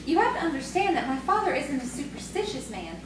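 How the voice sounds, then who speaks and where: A woman's voice: high voice, sing-song, a little fast. A woman playing a coy, proud young farmer's daughter in audio theatre, with an earnest storytelling manner. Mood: confiding. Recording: distant, very echoey, noisy stage recording.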